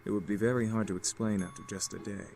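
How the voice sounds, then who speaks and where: A man's voice: fairly low pitch, steady tone, quick pace, creaky, a little mellow. A man voicing a composed, courteous young house servant and leader, formal and polite, in a visual novel game. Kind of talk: anime-style visual novel game acting. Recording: game music behind.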